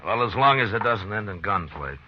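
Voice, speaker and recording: A man playing a calm, laconic frontier marshal in an old-time radio western, measured and understated. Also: fairly low pitch, lightly acted. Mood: watchful, firm. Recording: muffled, noisy old radio recording.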